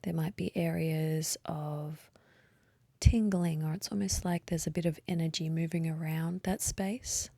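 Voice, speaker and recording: A woman leading a guided meditation in a soft, breathy voice, gently and unhurriedly, with long pauses. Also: deep voice, fairly even intonation, dry recording. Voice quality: soft, breathy, partly whispery. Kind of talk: guided meditation, slow calm instruction.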